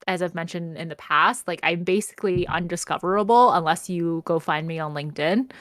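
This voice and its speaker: A woman's voice: fairly low pitch, a bit bright, no room sound, clean studio sound. A young adult woman co-hosting a podcast in a casual, conversational style. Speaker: podcast co-host. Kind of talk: casual chat.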